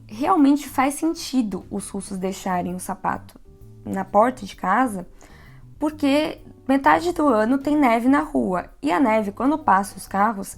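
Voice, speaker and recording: A Brazilian woman from São Paulo with a softened São Paulo accent, giving chatty advice in a casual vlog. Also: fairly high pitch, vocal fry. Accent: São Paulo Portuguese, softened. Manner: chatty, reflective, laughs easily. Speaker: vlogger living abroad.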